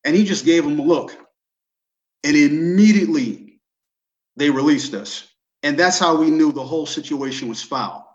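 A man's voice: on the high side, normal speed. American man, slightly muffled recording. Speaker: panelist. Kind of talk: recounting personal experiences.

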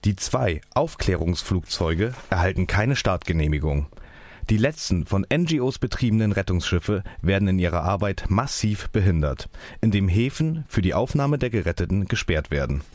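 A man's voice: deep voice, lively intonation, moderate pace, darkish tone, no room sound. A man, an activist, reading out a scripted political statement. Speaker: activist speaker. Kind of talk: scripted political speech read aloud.

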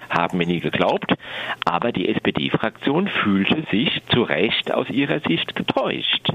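A man's voice: fairly low pitch, gravelly, a bit bright, slightly rough, lo-fi sound. A man giving a political appeal in a radio interview as a refugee rights advocate, speaking insistently and emphatically.

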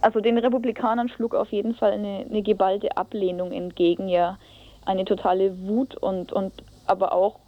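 Woman giving a halting eyewitness account, dull audio. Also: mid-range voice, little pitch movement, dry recording. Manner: halting, searching for words, colloquial. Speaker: eyewitness reporter from an alternative radio station. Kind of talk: informal interview account. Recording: dull lo-fi radio tape.

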